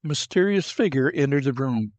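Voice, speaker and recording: A man's voice: medium pitch, expressive, a little fast, a little mellow, no room sound. A man narrating a scripted fantasy adventure in a dramatic storytelling style. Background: cinematic music.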